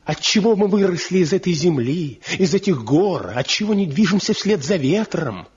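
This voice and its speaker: A man's voice: sits high, very animated, moderate pace, a little mellow. An actor playing a proud, scornful younger village craftsman in a radio drama, insistent and sardonic. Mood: bitter, impassioned. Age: young adult. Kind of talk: Soviet radio drama acting. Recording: dull 1970 radio play recording.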